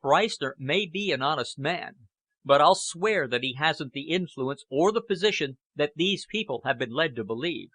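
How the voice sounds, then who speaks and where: Man acting grave, stern aging statesman, emphatic pitch. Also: expressive, fairly bright tone, dry recording. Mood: stern, urgent. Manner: stern, deliberate oratory. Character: strained, aging British Prime Minister, grave and patriotic. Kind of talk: audiobook character acting.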